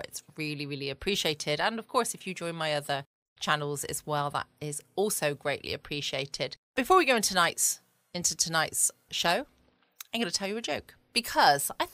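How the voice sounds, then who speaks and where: A woman's voice: fairly low pitch, bright, forceful tone, no echo, clean studio sound. A woman with a southern English accent hosting a casual bedtime podcast in a chatty, rambling, playful way. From England. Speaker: podcast host. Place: small home studio.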